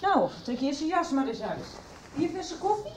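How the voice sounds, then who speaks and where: A woman playing a brisk, chatty, middle-aged mother in a Dutch radio soap, bustling and teasing as she rouses her household. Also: high voice, big pitch swings. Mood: brisk, motherly. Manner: bustling, calls out loudly, teasing. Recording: roomy, distant, noisy radio drama scene.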